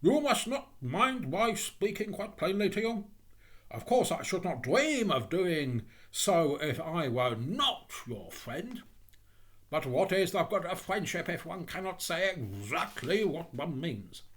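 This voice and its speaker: A man's voice: sits high, sing-song, slow, a bit bright, pitched above the performer's own voice, full character voice. A man voicing a pompous, self-important miller in a storybook tale, preachy and emphatic as he poses as a generous friend. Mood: preachy, smug. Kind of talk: theatrical storybook character voice.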